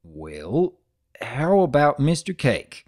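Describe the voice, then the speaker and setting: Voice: medium pitch, very animated, slow pace, a little mellow. A narrator playing a plainspoken big farm stallion. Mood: mild, earnest. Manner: folksy, laconic, earnest.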